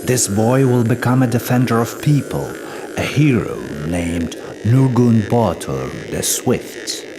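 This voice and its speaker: A man narrating an epic tale as a scripted storyteller in a solemn, measured manner, with music behind him. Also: mid-range voice, expressive, background noise.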